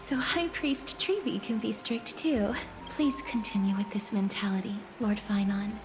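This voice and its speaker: A woman voicing a gentle, reassuring young noblewoman in a video game, polite, soft-spoken and composed. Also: mid-range voice, fairly even intonation, normal speed, over music, natural voice.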